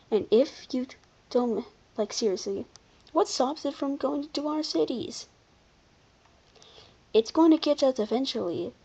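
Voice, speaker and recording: A commentator giving casual, unscripted video commentary in an informal, halting way, often backtracking. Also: mid-range voice, a little mellow, husky, lo-fi sound. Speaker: video creator presenting a ranking.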